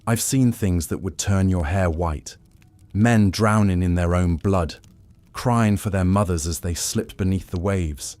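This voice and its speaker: A man voicing a grizzled, world-weary old pirate with a rough, raspy voice, telling grim tales in sailor dialect for a story. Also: low-pitched, unhurried, darkish tone, no room sound. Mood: grim warning.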